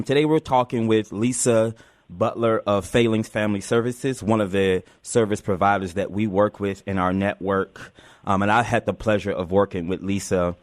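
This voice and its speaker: A man's voice: lowish voice, dry recording. A man hosting a live community radio interview show, often repeating himself as he goes.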